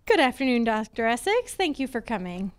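A woman's voice: on the high side, big pitch swings, brisk, noisy background. A young woman playing a sassy, suspicious female private eye in a 1940s-style radio drama, with an arch, wry manner. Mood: poised. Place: live on a stage before a curtain. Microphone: stand microphone.

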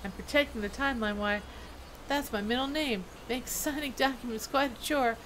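A woman's voice: fairly high pitch, normal speed, fairly bright tone, a little hiss or hum, natural voice. A woman voicing a sugary sweet female gnome from a video game, gushing and suspiciously over-innocent. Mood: saccharine, innocent.

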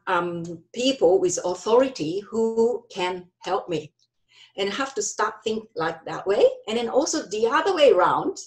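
A middle-aged woman with a Japanese accent presents a workshop over a video call. She speaks slowly and carefully in a mid-range voice, pausing with ums and restarting phrases as she goes. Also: mid-range voice, low-quality recording. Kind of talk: online workshop talk with slides.

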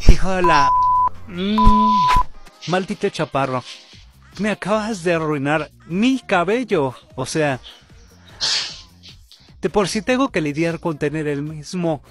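Scornful, vain older puppet brother played by a man, fandub drama, lively higher-pitched voice, taunting and exasperated. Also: slow, long pauses, heavily acted. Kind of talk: amateur Spanish fandub roleplay acting. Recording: loud music under the voice.

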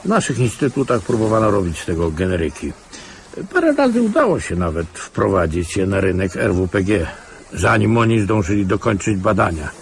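A man's voice: on the low side, huge pitch swings, brisk, slight background noise. An actor playing an older, retired secret-service colonel in a radio drama, wry and condescending as he speaks. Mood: confiding, wry.

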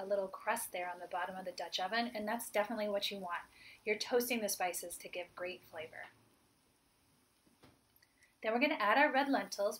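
A woman's voice: mid-range voice, a bit bright. Woman chef in her 40s walking thru a recipe, upbeat and chatty, plain mid voice. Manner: upbeat, explains each step plainly. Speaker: chef and cooking instructor. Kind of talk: friendly cooking demonstration. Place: home kitchen, indoors.

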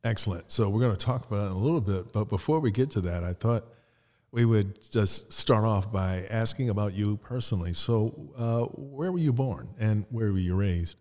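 A man's voice: lowish voice, normal speed, darkish tone. An older man hosting a conversational interview as a podcast host. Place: indoor art gallery. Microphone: close podcast mic on boom arm, headphones. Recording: reverberant gallery room.

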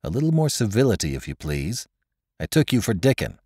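A man's voice: on the low side, very animated, a little fast, dark tone, husky, no echo, clean studio sound. A man voicing a blunt, proud ship's officer in an audiobook sea adventure, curt and commanding. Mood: cool rebuke.

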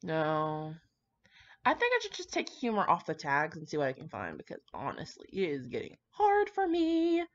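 A woman's voice: sits low, wildly swooping pitch, moderate pace. A woman rambling casually with dramatic asides, brash, slangy and full of swearing as she comments on fan fiction. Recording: dull lo-fi microphone.

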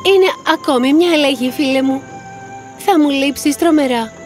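A woman voicing a gentle, world-weary mare, a loving friend who speaks tenderly and sorrowfully in a cartoon. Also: sits high, lightly acted. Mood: sad. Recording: long cavernous reverb, faint music.

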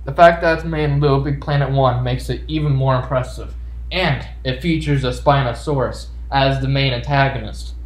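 A man's voice: mid-range voice, normal speed, echoey room, low-quality recording. A man giving casual video game commentary with jokey, playfully sarcastic asides. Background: video game music.

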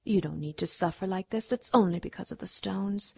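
A woman's voice: medium pitch, a little fast, gravelly, dark tone, husky, dry recording. An actress playing a seductive, imperious demon queen in a radio drama, cruel and mocking, moving between honeyed coaxing and haughty command. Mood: sweetly coaxing.

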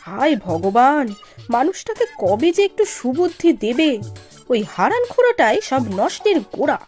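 Sharp-tongued cartoon housewife, capable and exclamatory, high voice with music underneath. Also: female voice, very animated, moderate pace. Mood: exasperated. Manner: scolding, sarcastic, exclamatory. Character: sharp-tongued, bossy but capable young village wife in a cartoon. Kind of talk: animated cartoon dialogue. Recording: music behind the voice.

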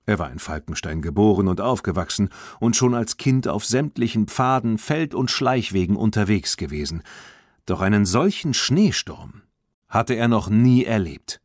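Deep-voiced, expressive male storyteller. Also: moderate pace, no echo, clean studio sound. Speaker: audiobook narrator. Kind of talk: scripted children's story narration.

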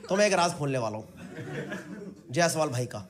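A man's voice: a little high, lively intonation, fairly quick. A man in his thirties doing teasing, conversational stand-up crowd work on a handheld mic in a small club. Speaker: stand-up comedian. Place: small indoor comedy club on stage. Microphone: handheld microphone. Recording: very noisy live club recording. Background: room ambience, audience laughter.

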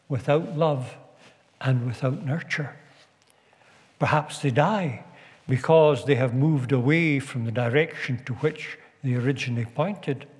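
A man preaching a sermon as a visiting minister, measured and deliberate with long pauses. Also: mid-range voice, a little mellow. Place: stone church. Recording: long church reverb.

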